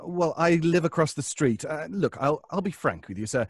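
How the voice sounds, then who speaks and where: Radio suspense role: nervy, stammering fussy gentleman, slightly husky, lively intonation. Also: a little high, no room sound, clean studio sound. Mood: polite, hesitant. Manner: stammering, flustered, halting. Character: anxious, fussy, hesitant male stage actor neighbour. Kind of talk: old-time radio suspense acting.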